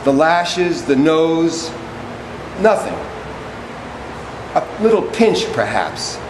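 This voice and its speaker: A man playing a servile, wordy orator in a stage drama, reciting poetic text in a halting, deliberate, declamatory way. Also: on the high side, big pitch swings, background noise, full character voice. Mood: solemn, descriptive. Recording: echoey room with music behind.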